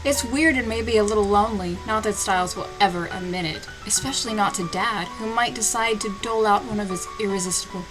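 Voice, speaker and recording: A woman's voice: mid-range voice, brisk, few pauses, poor audio. A woman giving a mocking, sarcastic dramatic reading of a story, with her own commentary as host. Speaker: host reading fan fiction aloud. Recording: loud music behind the voice. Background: music.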